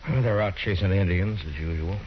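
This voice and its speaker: A very deep, measured frontier marshal, acted by a man for a 1950s radio western. He is steady and fair, talking plainly and mildly. The old recording is narrow and somewhat noisy. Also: close to an everyday voice. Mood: reasoning, weary.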